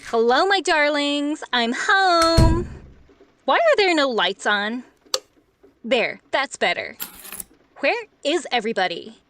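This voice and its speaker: A woman playing a chatty, fussing wife and mother in a radio play, breezy and expressive. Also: high-pitched, slow pace, pauses often, a little hiss or hum, heavily acted.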